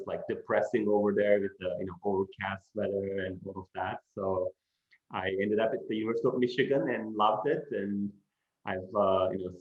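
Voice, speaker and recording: A middle-aged man from Turkey, a professor answering interview questions in an unhurried way, with many "you know" fillers. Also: on the low side. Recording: echoey room, dull lo-fi sound.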